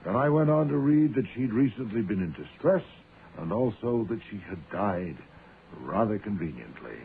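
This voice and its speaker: An imperious, proud great detective in a radio drama. He speaks in a fairly low voice with precise, formal, pompous diction. The sound is narrow like a telephone, with faint noise. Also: very animated, moderate pace. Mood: haughty, precise. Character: imperious, proud, sedentary genius detective, large man. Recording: muffled, noisy phone-like old radio sound.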